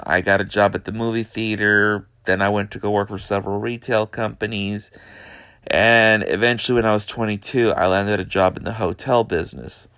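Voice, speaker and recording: A man from Texas, hosting a podcast from his bedroom in an unhurried, hesitant way with lots of fillers. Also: fairly low pitch, fairly bright tone, smooth, no echo, studio quality. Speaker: podcast host. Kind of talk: casual monologue.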